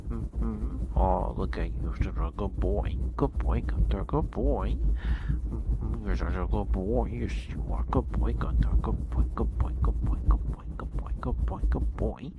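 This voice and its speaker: A man with a fairly low voice speaks gentle, cooing ASMR praise close to the microphone. The sound is thin and phone-like, with a loud hiss underneath. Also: measured pace. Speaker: ASMR streamer. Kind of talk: soft-spoken ASMR.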